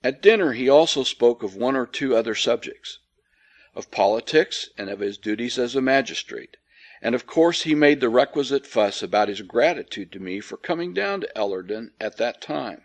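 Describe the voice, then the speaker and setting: A man narrating an old ghost story from a script in a measured, formal, dryly cynical storytelling manner. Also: mid-range voice, expressive. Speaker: audiobook reader.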